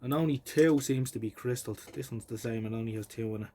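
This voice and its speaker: An Irish man giving casual, unhurried hands-on commentary, muttering and thinking aloud as he tinkers, recorded on a phone. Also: medium pitch, darkish tone. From Ireland. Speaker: vintage electronics hobbyist presenting. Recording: clearly noisy phone recording.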